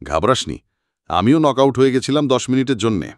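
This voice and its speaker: A man voicing a calm, sharp-witted private detective in an audiobook, assured and reassuring. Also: medium pitch, fairly quick, dry recording, clean studio sound, natural voice. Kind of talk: audiobook character acting.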